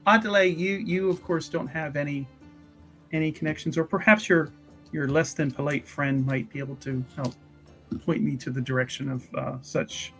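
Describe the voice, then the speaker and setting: A man's voice: on the high side, steady tone, moderate pace, fairly bright tone, faint music behind. A man voicing a polite, pompous female mage investigator in a tabletop roleplaying game, with formal, wordy, hesitant speech. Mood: coaxing.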